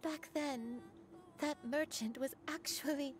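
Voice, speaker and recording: An actress playing a gentle, regretful grandmother in a video game, speaking in a measured, wistful, confessional way. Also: high voice, music in the background. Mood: shaken, emotional. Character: regretful elderly grandmother, once a crane, gentle and sorrowful. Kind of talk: dramatic scripted game dialogue.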